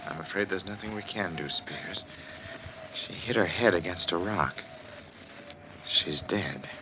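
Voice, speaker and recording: An actor playing a skeptical, impatient husband in a 1940s radio mystery, brusque and reassuring, with a stammer when rattled. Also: male voice, low voice, a little slow. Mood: subdued. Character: skeptical impatient husband dismissing talk of curses. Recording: muffled, noisy 1940s radio recording.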